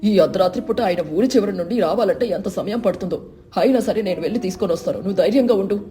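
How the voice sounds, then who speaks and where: A woman voicing a cautious, anxious but caring husband in a storybook tale, admonishing in a hurried way. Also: mid-range voice, warm tone, natural voice. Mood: worried, scolding.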